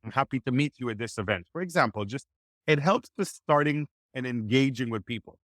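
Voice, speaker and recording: A man whose first language is Hebrew, giving energetic, motivational networking advice as a podcast guest. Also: medium pitch, a little fast, dry recording, clean studio sound. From Israel. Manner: energetic, motivational, acts out quoted dialogue. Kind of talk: animated podcast interview.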